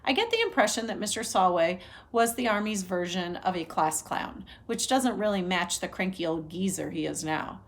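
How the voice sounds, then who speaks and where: A woman reading a novel aloud like a teacher, narrating for young listeners in an animated way and acting out the dialogue. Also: fairly low pitch, normal speed, few pauses. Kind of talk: expressive children's read-aloud narration.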